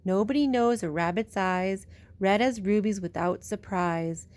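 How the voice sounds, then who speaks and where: A woman reading a storybook aloud to children, with expressive, drawn-out storytelling. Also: medium pitch, no echo.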